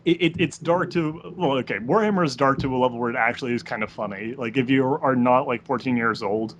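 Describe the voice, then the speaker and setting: A man in a casual podcast conversation, with an average-pitched voice. He explains his views firmly and swears freely. The recording has clear background noise. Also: quick pace, highs cut off. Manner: profane, opinionated, explanatory. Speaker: podcast co-host. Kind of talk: casual chat.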